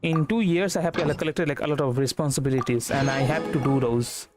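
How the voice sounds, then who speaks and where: A man's voice: medium pitch, fairly quick. A young man, casually commentating a game livestream in a rambling way, repeating words. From India. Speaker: streamer. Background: game music.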